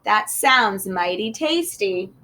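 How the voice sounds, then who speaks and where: A woman's voice: mid-range voice, animated, slow, sharp tone. Woman voicing a friendly police officer. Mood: appreciative.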